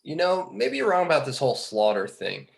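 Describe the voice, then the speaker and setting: A man playing a skeptical, bookish fallen angel in a film script table read, articulate and measured. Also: a little high, wildly swooping pitch, vocal fry, exaggerated voice.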